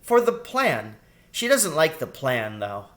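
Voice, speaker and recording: A man's voice: fairly high pitch, slow pace, voice pushed up, heavily acted. A man voicing a small young girl rat in a storybook, childish, wary and chatty. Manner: childish, excitable, suspicious questioning. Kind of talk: storybook character voice in a read-aloud.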